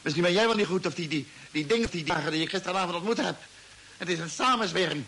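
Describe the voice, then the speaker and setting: An actor playing an agitated, paranoid, confused space traveller in an old radio drama, insistent and repeating himself. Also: male voice, high-pitched, fast, pushed and bright, slightly rough, heavily acted. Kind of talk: old radio play acting. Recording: old radio recording with faint noise.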